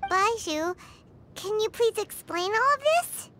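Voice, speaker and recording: Tiny childlike fairy girl, anime game. Extremely high, squeaky, bouncy range, blunt and curious. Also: expressive, takes it slowly, fairly bright tone, a little hiss or hum, exaggerated voice. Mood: puzzled. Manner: squeaky, refers to herself in third person. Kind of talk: anime-style video game voice acting.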